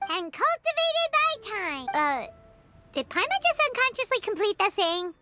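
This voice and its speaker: A tiny girl sprite from a video game. The voice is extremely high and squeaky, bouncing widely, pert and excitable. Clear music sits behind it. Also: unhurried, full character voice. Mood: surprised. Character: tiny, childlike, pert female sprite companion.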